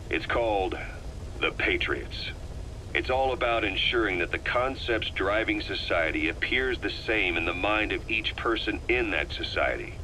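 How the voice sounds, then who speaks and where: A grave older spymaster, speaks in a low, forceful voice. He delivers his secret game-tape briefing with calculated, deliberate weight and world-weary seriousness, over faint background noise. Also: low-quality recording. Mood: earnest, intent. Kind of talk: scripted monologue recorded as a secret audio report.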